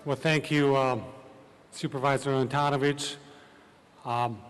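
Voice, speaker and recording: A man's voice: mid-range voice, flat delivery, measured pace, big-room sound, music in the background. A man speaking for an airline at a ceremony, formal and hesitant with fillers. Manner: hesitant, many ums. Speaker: airline representative. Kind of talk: formal speech.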